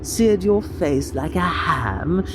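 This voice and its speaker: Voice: medium pitch, animated, takes it slowly, background noise. An actor playing a vain, mocking god of vanity in a fantasy audio drama, with a taunting, theatrical delivery.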